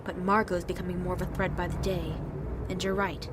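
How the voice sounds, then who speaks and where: A woman's voice: fairly low pitch, flat delivery, fairly quick, noisy background, lightly acted. A woman playing a proud, ruthless female agent in an amateur audio drama, terse and scornful in manner. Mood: calculating.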